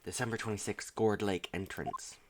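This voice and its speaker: A woman's voice: extremely low pitch, moderate pace, background noise. A woman streamer reading a video game's text aloud during a live stream.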